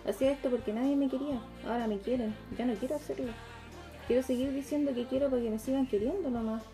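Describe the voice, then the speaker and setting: A woman's voice: high voice, fairly even intonation, fast talker, mellow, soft background music, low-quality recording. A young Chilean woman acting a troubled, plain-spoken girl in a radio theatre piece. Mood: subdued, frank. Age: young adult. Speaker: student performer.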